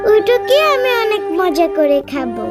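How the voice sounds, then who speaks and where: Little cartoon animal girl, extremely high voice, sweet, pleading, eager, childlike, music behind. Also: sing-song, moderate pace.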